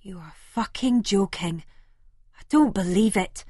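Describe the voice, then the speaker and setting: A woman's voice: medium pitch, a little slow, husky, dry recording, clean studio sound. A woman reading an audiobook, voicing a panicking, swearing Scottish man with a Scottish accent. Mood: furious. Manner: shouting, swearing, frantic.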